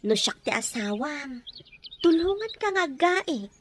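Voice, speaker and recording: High-pitched coy Filipino farm wife acted by a woman. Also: sing-song, slow pace, a little hiss or hum, full character voice. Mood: flirty. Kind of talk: Ilocano audio drama acting.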